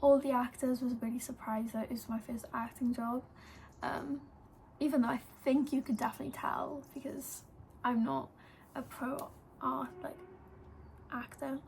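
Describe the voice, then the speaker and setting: A young Welsh woman of eighteen rambles through a personal story in an easy vlog style, sprinkling in "like" and "um". Her voice sits high and stays fairly even in pitch. A faint hiss runs under the recording. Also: moderate pace, creaky, low-quality recording. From Wales. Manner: chatty, lots of "like" and "um". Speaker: vlogger. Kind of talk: casual storytelling to camera.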